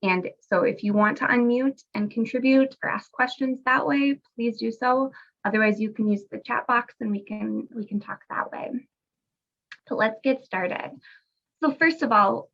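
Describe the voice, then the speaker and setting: Woman, gravelly voice, recovering from a cold, friendly webinar presenter, muffled lo-fi call audio. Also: on the high side, fairly bright tone. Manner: friendly, conversational, with fillers. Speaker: guest presenter, parent educator. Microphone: video call.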